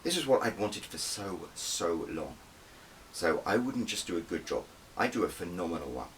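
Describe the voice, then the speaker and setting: A man's voice: low voice, very animated, normal speed, a bit bright, distant mic, a little hiss or hum, rough recording. A man reading his own novel as an audiobook, in dramatic, emphatic first-person narration.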